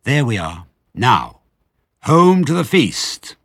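A man voicing a crafty, confident male fox, brisk and jaunty, in a children's storybook. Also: mid-range voice, very animated, a bit bright, breathy edge, dry recording, clean studio sound, pitched above the performer's own voice, heavily acted. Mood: pleased.

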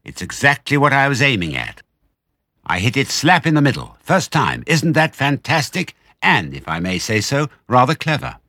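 A man voicing a clever, excitable father fox from a children's storybook, fond of his children, exclaiming and giving orders with pride. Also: deep voice, moderate pace, no room sound, clean studio sound, heavily acted. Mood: jubilant, boastful. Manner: exclamatory, boastful, affectionate.

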